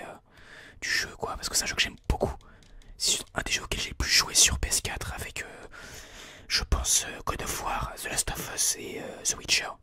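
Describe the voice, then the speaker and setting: A person whispering informal, hesitant gameplay commentary in an ASMR style, thinking aloud close to a binaural microphone. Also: bright, forceful tone. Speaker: video game streamer, ASMR host.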